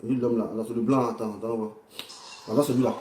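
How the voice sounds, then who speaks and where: A man's voice: mid-range voice, steady tone, fast, darkish tone, recorded from a distance. A man giving casual, improvised political commentary in an insistent, repetitive, colloquial way. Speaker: online commentator reacting to political clips. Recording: echoey room, off-mic.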